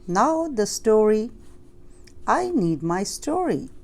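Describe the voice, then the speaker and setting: A woman's voice: medium pitch, sing-song, takes it slowly, a little mellow, pitched above the performer's own voice. A woman voicing a bossy, vain witch in a children's storybook, with an imperious, commanding manner. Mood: demanding. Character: bossy, vain female sandwich witch, demanding and cackling.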